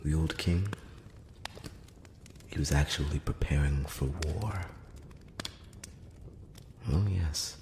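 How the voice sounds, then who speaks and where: A man voicing a solemn, probing elf emissary in a breathy whisper, speaking formally and deliberately in a close-up ASMR fantasy roleplay. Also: very deep voice, roomy sound, background noise, full character voice. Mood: grave, measured. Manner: slow, deliberate, formal, with long pauses. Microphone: close-up microphone.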